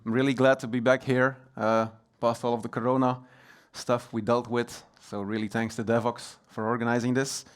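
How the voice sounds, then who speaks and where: A middle-aged Belgian man with a Belgian accent giving a technical conference talk, relaxed and plain, with frequent ums and uhs. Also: medium pitch. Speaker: conference speaker. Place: conference hall.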